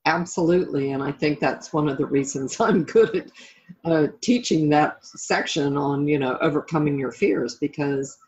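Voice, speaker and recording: A woman's voice: low-pitched, normal speed, darkish tone, highs cut off. A middle-aged woman being interviewed as a life coach and author, talking reflectively and conversationally in an encouraging way.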